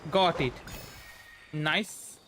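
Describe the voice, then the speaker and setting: A man's voice: on the high side, drawn-out words, pushed and bright, slight background noise. A man giving casual gameplay commentary as a streamer, muttering to himself in short phrases. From India. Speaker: streamer, virtual avatar host. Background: faint game music.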